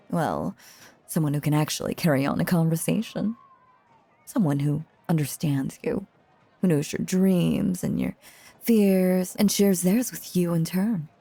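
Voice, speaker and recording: A dark, mellow female voice, breathy and very dry, a woman acting as a seductive stage magician in an audio roleplay. She takes her time, theatrical and teasing, with a possessive, doting undertone. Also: lowish voice, dry recording, full character voice. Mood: wistful. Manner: theatrical, flirtatious, silky and teasing.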